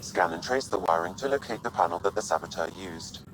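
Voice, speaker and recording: A low-pitched, impassive AI assistant, acted by a man, gives measured technical guidance in a sci-fi game. Clear background ambience runs behind the formal, precise delivery. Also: natural voice. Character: calm, precise male artificial intelligence assistant. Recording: noisy ship ambience behind.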